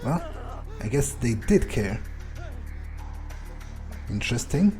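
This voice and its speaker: A man plays a game in character, thinking aloud in a medium-pitched voice. His commentary is casual, dry and understated. Faint music runs behind him. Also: very animated, slow pace, warm tone. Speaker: gamer playing in character.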